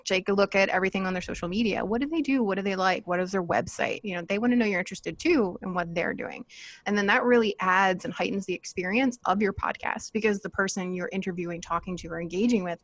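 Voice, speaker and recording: A woman podcaster talking casually on a live panel, chatty and quick to laugh. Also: mid-range voice, no echo.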